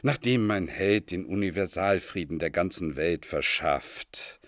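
A man's voice: deep voice, animated, unhurried, a bit bright, no room sound, clean studio sound, full character voice. An actor playing a pompous madman who believes he is Jupiter, declaiming grandly and solemnly in a literary radio drama. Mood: lofty, explaining. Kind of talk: theatrical literary radio drama in archaic German.

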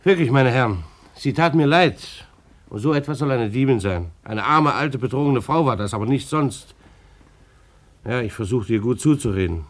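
Plain-spoken bailiff, medium-pitched man. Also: creaky. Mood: regretful, narrating. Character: plain-spoken German bailiff, defensive, sympathetic, law-abiding. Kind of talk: radio play acting.

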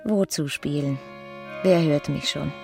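An actress playing a bored, melancholy young doctor's wife in a literary radio play, brooding and intimate, at times near whispering. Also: female voice, sits low, measured pace, darkish tone, faint music behind. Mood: weary, resigned. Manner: brooding, breathy, sometimes sinking into whispers.

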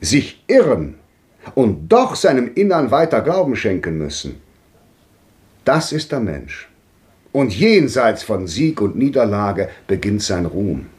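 An actor playing a grandly bitter old man in a 1950s radio drama, declaiming with sweeping swings between loud and hushed. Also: on the low side, big pitch swings, slow pace. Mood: brooding. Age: older.

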